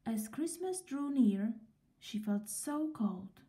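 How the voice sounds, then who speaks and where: A woman reading a children's story aloud in a gentle, expressive way, with pauses between phrases. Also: on the high side.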